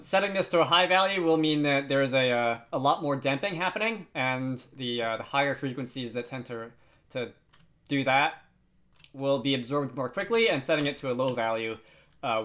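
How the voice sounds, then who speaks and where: Casual, hesitant man giving a software tutorial. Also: medium pitch. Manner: hesitant, thinking aloud. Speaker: tutorial host.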